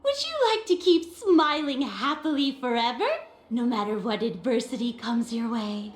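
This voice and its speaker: A cheery, theatrical young woman, acted for an anime game, speaks in a high, swooping voice at an unhurried pace. She is effusive and welcoming, with echo and faint music around her. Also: animated, close to an everyday voice. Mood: delighted welcome. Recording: echoey game audio with light music.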